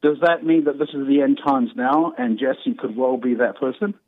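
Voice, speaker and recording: A male caller from New Zealand, with the accent to match, talks earnestly and casually, hesitating and repeating himself. His mid-pitched voice comes over a narrow, tinny phone line. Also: moderate pace, no room sound.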